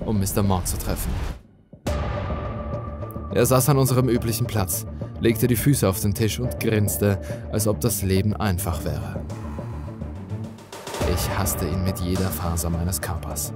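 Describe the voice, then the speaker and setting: A man reading a horror story as dramatic scripted narration. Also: fairly low pitch.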